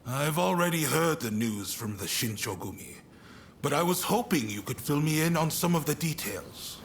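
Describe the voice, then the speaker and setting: A man acting an affable, shrewd statesman in a video game cutscene, expressive and earnest but conversational. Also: fairly high pitch, moderate pace, creaky, a little hiss or hum. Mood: friendly, appreciative. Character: affable shogunate statesman, shrewd negotiator, warm toward his ally. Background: music.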